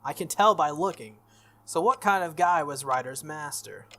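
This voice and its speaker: A man reading the lines of a sharp, proud teenage schoolgirl from a visual novel. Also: a little high, moderate pace. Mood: exasperated. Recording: music behind the voice.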